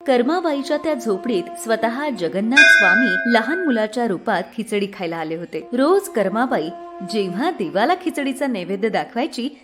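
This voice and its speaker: A woman narrating a scripted devotional story in an expressive storybook delivery. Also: a little high, normal speed, loud music behind the voice.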